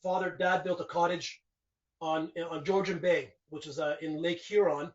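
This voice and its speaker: Higher-pitched man, distant dull recording. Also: fairly even intonation, moderate pace. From Canada. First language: Finnish. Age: 40s. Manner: rambling and reflective, frequent restarts and "you know". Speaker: guest. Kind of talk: casual conversational interview. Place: his home.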